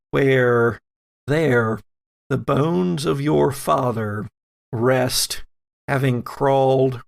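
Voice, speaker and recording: Male game master for horror tabletop play: slow, creaky, suspenseful narration with deliberate dramatic pauses. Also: medium pitch.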